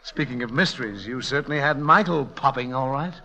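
Bright, urbane publisher, old radio. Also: male voice, mid-range voice, a little fast. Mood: urbane, exasperated. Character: urbane, insistent publisher, mildly exasperated gentleman. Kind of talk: 1930s-40s radio comedy acting. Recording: dull, band-limited old radio recording.

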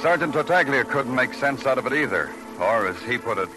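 An actor playing a deadpan, terse police detective who narrates his case and questions people in an old noir radio drama. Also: mid-range voice, brisk, music in the background, close to an everyday voice, rough recording. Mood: wry, brooding.

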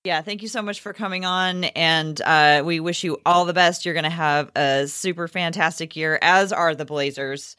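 A woman's voice: low voice, fairly even intonation, normal speed, hardly any pauses, fairly bright tone, clear, resonant tone, dry recording, studio quality. A woman chatting casually as a podcast host. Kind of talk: casual chat.

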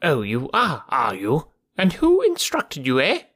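Man putting on a high crackly old miser, querulous, scolding, swooping wildly, dry sound. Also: wildly swooping pitch, a little slow, no echo, exaggerated voice. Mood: indignant. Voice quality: sharp crackling voice. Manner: shrill, scolding, demanding. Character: querulous, twitchy, stingy little old man. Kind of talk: audiobook character voice.

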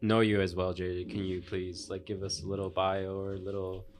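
A man with a low, deep voice hosts a casual conversation. He phrases things haltingly, often restarting and repeating words as he goes. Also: monotone. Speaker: podcast host. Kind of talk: casual chat.

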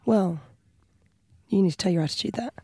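A woman with a raspy voice, co-hosting a podcast in playful, animated chat. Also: medium pitch, brisk, dry recording, clean studio sound. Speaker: podcast co-host. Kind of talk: casual comedic chat.

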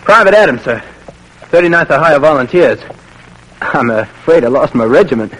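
A young man playing a polite, easygoing army private in an old radio drama, with a folksy manner and husky voice. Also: a little high, expressive, normal speed, a bit bright, poor audio. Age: young adult.

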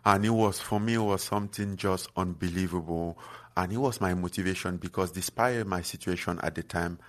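Man from Cameroon, very deep voice, halting earnest interview talk. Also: fairly bright tone. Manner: halting, many fillers and restarts, earnest. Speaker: guest fighter. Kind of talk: casual interview chat.

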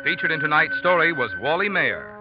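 A man's voice: a little high, very animated, a little fast, loud music behind the voice. An American man announcing on 1940s radio, reading scripted sponsor copy in a polished, emphatic manner. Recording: dull old radio recording.

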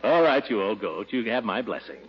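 An actor playing a pompous, exasperated middle-aged uncle who rules the household in a 1940s radio comedy. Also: male voice, fairly low pitch, big pitch swings, a little fast, slightly rough, full character voice. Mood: indulgent, teasing. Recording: dull vintage radio recording, music behind.

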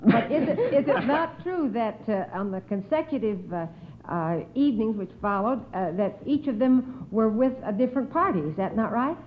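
A woman's voice: on the high side, expressive, normal speed, a little hiss or hum. A woman hosting a radio quiz in a polite, formal way, reading out questions. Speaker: quiz show host. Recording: muffled old radio recording.

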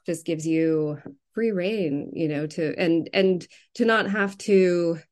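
A woman speaking as an interviewed novelist, reflecting casually and circling haltingly around her thoughts. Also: on the low side, darkish tone.

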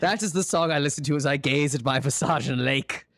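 A man playing a genteel old horror film actor in an improvised comedy interview, speaking in a courtly, deliberate, theatrical way. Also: a little high, a bit bright, no echo, clean studio sound. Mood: indignant, proud.

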